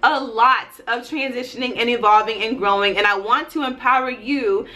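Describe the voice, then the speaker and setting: A woman's voice: on the high side, normal speed, sharp tone. A woman in her thirties giving a casual motivational vlog talk, emphatic and folksy, often checking in with her listeners. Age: 30s. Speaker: YouTuber and life and business coach.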